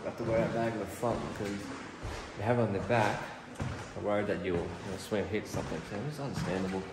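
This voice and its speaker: A young man from Australia, walking and talking casually through large gardens, easily impressed and thinking out loud. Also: fairly low pitch, fast, low-quality recording. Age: young adult. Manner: chatty, easily impressed, thinking aloud with restarts. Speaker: vlogger. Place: outdoors in large formal gardens. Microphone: phone camera at arm's length.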